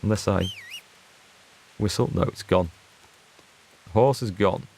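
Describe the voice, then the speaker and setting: Gravelly low-voiced man. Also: a little slow, a little mellow. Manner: chatty, reads out and answers viewer chat. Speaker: gaming streamer. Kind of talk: casual let's play commentary.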